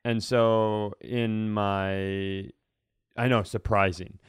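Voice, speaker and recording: Smooth lowish guy on a comedy podcast, rambly self-deprecating chat, really dry sound. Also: flat delivery, extremely slow, no echo.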